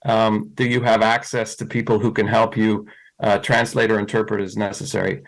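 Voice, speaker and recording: A man's voice: on the low side, little pitch movement, moderate pace, gravelly, poor audio. A middle-aged man answering questions as a school board candidate, thoughtful, with many fillers and restarts.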